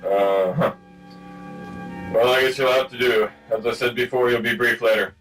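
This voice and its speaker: A man plays a gruff, impatient boss in a comic radio play. He sounds a little off the microphone, with music behind. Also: medium pitch, steady tone, normal speed, fairly bright tone, recorded from a distance, close to an everyday voice. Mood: brusque. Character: gruff, impatient male agency chief.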